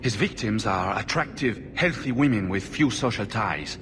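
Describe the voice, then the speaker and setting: A man's voice: lowish voice, lively intonation, normal speed, close to an everyday voice. A man acting a desperate, vengeful blood mage in a video game, intense, defensive and insistent. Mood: grim, determined. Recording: noisy, long-echoing hall.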